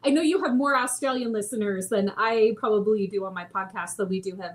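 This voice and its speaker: A woman hosting a live podcast, bubbly and quick to laugh as she reads out chat comments. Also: mid-range voice. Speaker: podcast host.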